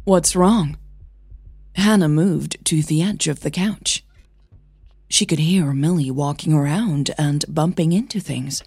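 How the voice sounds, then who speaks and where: A woman's voice: deep voice, measured pace, a little mellow, husky, no echo. A woman narrating a fiction audio drama in a scripted storytelling style.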